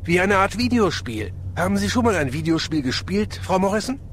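A man acts out a brisk, reassuring police inspector in a radio play. The voice is high for a man and bounces up and down, with faint vehicle noise behind. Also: big pitch swings, fairly bright tone. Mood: courteous. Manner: polite, explanatory. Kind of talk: German audio drama acting.

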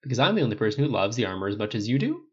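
A man's voice: medium pitch, very animated, rapid-fire, a little mellow, breathy edge, highs cut off. A man voicing a caring military man, a best friend with a dry, teasing manner. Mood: fond, teasing.

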